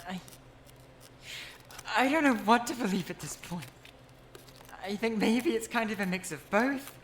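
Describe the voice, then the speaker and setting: A woman's voice: fairly high pitch, lively intonation, measured pace, slight background noise. An actress playing a doubtful young woman who hedges and hesitates with many fillers. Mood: uneasy. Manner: hesitant, filler-heavy, unsure.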